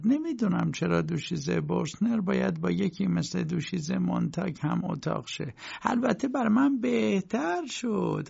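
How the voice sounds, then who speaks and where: A man voicing a fretful middle-aged landlady who pleads in a high, drawn-out voice. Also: creaky, darkish tone, husky, heavily acted. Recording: dull lo-fi recording.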